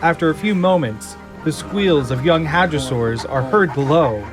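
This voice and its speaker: A man's voice: fairly high pitch, lively intonation, moderate pace. A man delivering dramatic scripted narration as the narrator of an audio drama. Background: music and sound effects.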